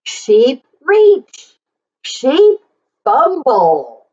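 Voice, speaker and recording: A middle-aged woman reading aloud to young children in an animated, sing-song way, with encouraging asides. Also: high-pitched, extremely slow, dull audio. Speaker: children's story reader and teacher. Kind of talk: read-aloud for young children, repeating lines slowly. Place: small plain indoor room.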